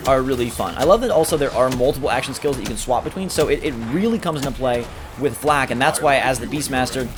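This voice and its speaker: A man's voice: mid-range voice, expressive, fast talker. A man hosting a gameplay video, giving enthusiastic running commentary. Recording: game sound loud behind voice. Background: video game action noise.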